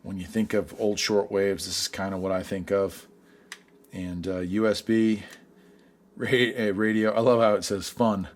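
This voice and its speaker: Man, low voice, easygoing folksy product reviewer, casual chat, faint background noise. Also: steady tone, unhurried.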